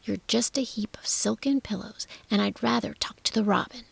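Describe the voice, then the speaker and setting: A woman's voice: mid-range voice, moderate pace, gravelly, slightly rough, dry recording. A woman voicing a stubborn, blunt young girl who scolds in a clipped whisper. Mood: scolding.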